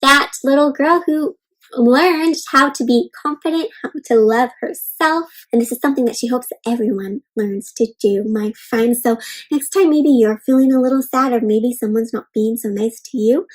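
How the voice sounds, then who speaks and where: A woman's voice: sits high, rough recording. A woman reading a storybook aloud to young children, encouraging and reassuring, often stopping to explain simply and check in with them. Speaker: children's read-aloud host.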